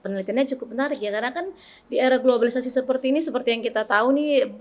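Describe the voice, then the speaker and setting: A woman's voice: a little high, very fast, slight background noise. Chatty woman podcast host. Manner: chatty, frequent "nih" and "ya" fillers, encouraging. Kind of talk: casual interview chat.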